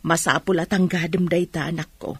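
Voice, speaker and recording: A woman with an Ilocano accent acting in a radio drama as a worried, caring mother, with a husky voice. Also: on the low side, little pitch movement, brisk, dry recording.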